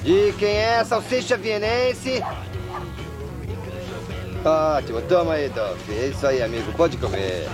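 A man's voice: on the high side, lively intonation, unhurried, long pauses. A man dubbing a dim-witted, joking young man in a film, playfully talking to dogs as if serving a meal. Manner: playful, talks to dogs like a waiter. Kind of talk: Brazilian Portuguese film dub. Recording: film dub over music.

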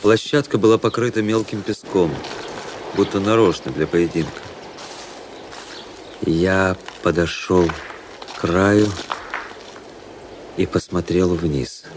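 Low-voiced, composed officer recounting a duel. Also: male voice, noisy background. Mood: somber. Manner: measured, literary, composed. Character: cool, proud, fatalistic young officer recounting his duel. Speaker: narrator and lead actor. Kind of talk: radio drama narration.

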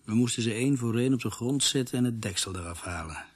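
A sober narrator in a radio drama, played by a male actor, recounting events he took part in. His voice is husky and mid-pitched, with a measured, factual delivery. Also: close to an everyday voice. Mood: matter-of-fact. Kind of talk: scripted radio play narration.

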